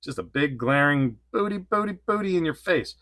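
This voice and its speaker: A man's voice: fairly high pitch, expressive, normal speed, bright, forceful tone, dry recording, studio quality. A man in his forties reviewing an action figure in a casual, rambling way, laughing often between thoughts. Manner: rambling, giggly, lots of fillers. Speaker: toy reviewer.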